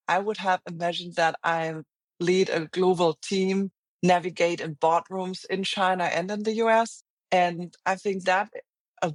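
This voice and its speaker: A woman's voice: fairly low pitch, no room sound. German lady, middle-aged, thoughtful interview guest, dry close mic. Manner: reflective, earnest, speaks in halting restarts. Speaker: guest, finance executive. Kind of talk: interview conversation.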